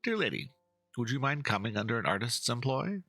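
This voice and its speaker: A man acts out a theatrical game character, a passionate and easily indignant painter. His voice is forceful and husky, with wide, swooping pitch. He speaks floridly and pompously over music. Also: sing-song, a little fast, no echo, full character voice. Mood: eager, courteous. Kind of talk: dramatic reading of game dialogue.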